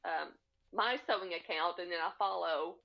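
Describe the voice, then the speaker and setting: A woman's voice: on the low side, moderate pace, vocal fry. A woman chatting casually as a podcast guest, recorded over a video call with slightly dull sound. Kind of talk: casual chat. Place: remote split-screen video call.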